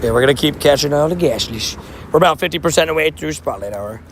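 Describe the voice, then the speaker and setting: Man, streamer, slightly hoarse, gravelly and fairly high voice, chatty, faint street noise. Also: animated, quick pace. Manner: chatty, reads out and answers chat. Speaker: livestream host. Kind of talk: casual live-stream chat. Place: outdoors by a street with traffic.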